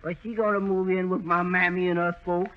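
A man's voice: high voice, little pitch movement, brisk, natural voice. A man playing a muddled, deferential young delivery man with folksy, ungrammatical talk in a 1930s radio comedy. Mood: chatty, deferential. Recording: dull 1930s radio recording.

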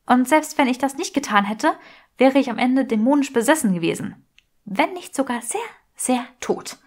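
A woman's voice: high-pitched, lively intonation, normal speed, voice pushed up. A woman voicing an earnest, teasing young witch in a fantasy audiobook. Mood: earnest, admiring.

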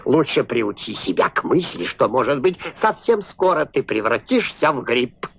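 An actor playing a jovial, self-satisfied old mushroom ruler in a dubbed cartoon. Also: male voice, mid-range voice, big pitch swings, vocal fry, a bit bright, breathy edge, full character voice. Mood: smug, genial. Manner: chuckling, unhurried, self-satisfied. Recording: muffled old film soundtrack with music.

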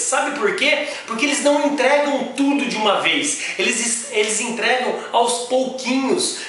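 A Brazilian man in his forties giving an animated, emphatic motivational sales talk to camera, stretching out key words. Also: very high voice. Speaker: sales coach and motivational speaker. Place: small indoor office with bare wall. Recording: very echoey small room.

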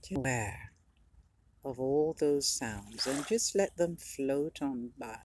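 A meditation guide speaking slowly and soothingly, with long pauses, leading a guided relaxation and reading affirmations aloud. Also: mid-range voice, background noise. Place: outdoors near the sea.

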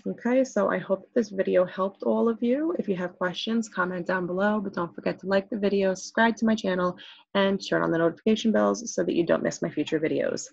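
A woman's voice: mid-range voice, brisk, creaky, darkish tone, breathy edge, no room sound, muffled recording. A woman teacher talking casually through a screen-recorded tutorial, step by step, with many okays.

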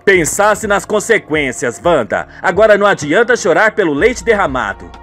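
A man's voice: sits high, very animated, moderate pace, fairly bright tone, faint music behind. A man playing a frank family man who moralises reproachfully in a radio soap. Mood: scolding.

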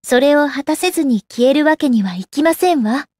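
A woman's voice: sits high, animated, brisk, darkish tone, dry recording, studio quality. An actress playing a proud, composed young goddess warrior in a game, with refined, ladylike and formal speech. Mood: resolute. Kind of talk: Japanese anime game character acting. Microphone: close dry studio microphone.

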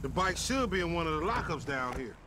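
An actor playing a streetwise young hustler in a game cutscene, talking cocky, profane street slang. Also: male voice, on the high side, big pitch swings, brisk, background noise. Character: streetwise young male car repo hustler. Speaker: video game protagonist. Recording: loud game score and gunfire behind.

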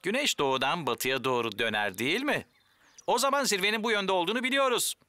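A high-voiced, proud cartoon outdoorsman. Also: lively intonation, fast, bright, forceful tone. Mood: hearty. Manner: boastful, emphatic. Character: hearty, proud, competitive male mountain guide.

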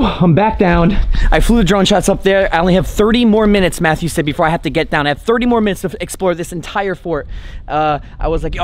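A young man in his twenties talking excitedly to a handheld camera as he explores an abandoned sea fort, thinking aloud. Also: high voice, slight background noise. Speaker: urban explorer vlogger. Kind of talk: casual handheld vlog narration. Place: inside an abandoned sea fort, concrete corridors and rooftop.

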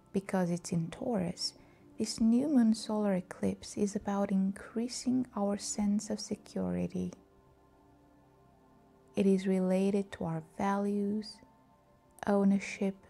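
A woman leading a scripted guided meditation in a soft, breathy, soothing and calm voice. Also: mid-range voice.

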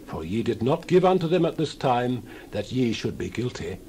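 Solemn male biblical elder, played by an actor, declamatory scripture drama, mid pitch, faint ambience behind. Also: very animated, moderate pace, darkish tone, slight background noise. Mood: instructing. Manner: declamatory, archaic King James phrasing. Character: solemn male elder of ancient Israel's congregation, counselling. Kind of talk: dramatized Bible reading.

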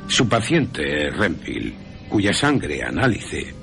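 Man acting a solemn, deliberate vampire-hunting professor. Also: extremely low pitch, huge pitch swings, creaky, a bit bright, heavily acted. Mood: assured, probing. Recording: music under the voice.

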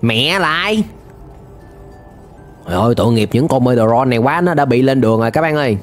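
Young guy doing casual cartoon reaction commentary, lively pitch, bit of music behind him. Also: brisk. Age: young adult. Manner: exclamatory, repeats and comments on what is watched. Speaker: reaction video host. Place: indoor gaming room. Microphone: close microphone on a boom arm, headset worn. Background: cartoon soundtrack music.

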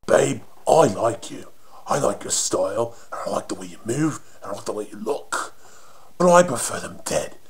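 A man voicing a cocky, flirty undead punk rocker monster for a game, with a raspy British accent and cheeky taunting slang. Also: lowish voice, lively intonation, normal speed, heavily acted. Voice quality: raspy, husky. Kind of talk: video game character voice demo.

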